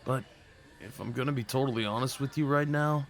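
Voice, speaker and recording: Male actor as jittery, kind-hearted young date for ASMR roleplay; hesitant, self-effacing, mid-pitched voice. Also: a little fast, natural voice. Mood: flustered, apologetic. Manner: hesitant, apologetic, rambling, sighs and nervous chuckles. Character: nervous, sweet young man on a first date. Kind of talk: ASMR roleplay acting addressed to the listener.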